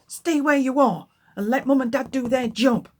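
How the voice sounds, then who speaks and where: A woman's voice: high voice, moderate pace, voice pushed up. Woman as stern, bossy alien teen sister, storybook. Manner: stern, scolding.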